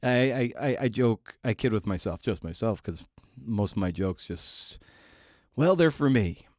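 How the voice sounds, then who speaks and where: A low-voiced man talks casually by himself as a podcast host. His manner is wry, rambling and self-deprecating. The recording is dry and close, with very little room sound. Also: lively intonation, unhurried, a little mellow, no echo. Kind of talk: casual solo podcast monologue.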